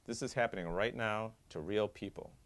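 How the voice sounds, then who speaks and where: A man in his fifties, a surgeon, giving an earnest, measured scripted appeal from his office. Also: on the low side, slight background noise. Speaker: head and neck surgeon. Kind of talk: scripted advocacy monologue. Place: doctor's office with diplomas.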